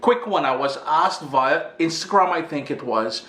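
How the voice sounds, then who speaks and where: A man's voice: a little high, animated, moderate pace, sharp tone. A middle-aged man with a Caribbean accent hosting a casual cooking video in his kitchen. His nose is stuffy from allergies, and his talk is chatty and slangy. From Caribbean island. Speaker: cooking show host. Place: home kitchen.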